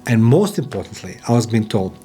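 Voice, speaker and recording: A man's voice: on the low side, big pitch swings, a little fast, mellow, soft background music. A man from Croatia, giving an emphatic motivational talk in as a podcaster and business owner. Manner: emphatic, repetitive, non-native phrasing. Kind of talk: motivational monologue.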